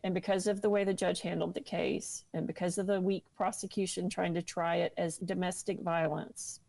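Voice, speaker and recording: A woman's voice: fairly low pitch, level tone, moderate pace, creaky, darkish tone. A woman speaking earnestly and seriously as an interview guest, giving personal testimony.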